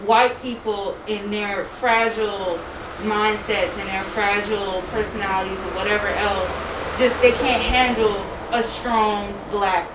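A woman's voice: medium pitch, fairly even intonation. A young woman in a casual group discussion, talking emphatically and unapologetically. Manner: emphatic, loud and unapologetic, drawn-out phrasing. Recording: echoey room, distant mic, background noise.

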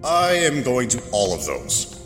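An actor playing an excitable, wonderstruck male traveler in an audio drama, with an eager, gushing manner. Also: mid-range voice, unhurried, soft background music. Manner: exclamatory, gushing.

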